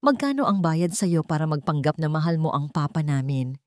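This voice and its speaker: A woman's voice: sits low, big pitch swings, normal speed, dry recording. A woman narrator puts on a hostile young man in a family drama story. He speaks with pointed sarcasm and insult, in a close, dry sound. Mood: sarcastic, taunting. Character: sarcastic, hostile young man taunting his new stepmother.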